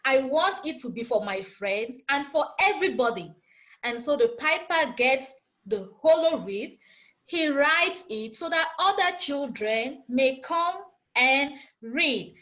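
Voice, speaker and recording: A woman's voice: high-pitched, expressive, unhurried, a bit bright. A woman hosting a group poetry discussion, explaining things step by step in repeated parallel phrases. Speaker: discussion host.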